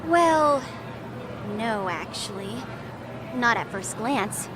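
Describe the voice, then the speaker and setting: An eager teenage mystery fanatic, speaks in grand, wordy declarations for a video game. Her voice is high and swings animatedly in pitch. Music plays clearly behind her. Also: animated, drawn-out words, poor audio. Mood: accusing, confident. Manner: pompous, wordy, dramatic. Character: eager, theatrical teenage girl, mystery-obsessed club president. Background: background music.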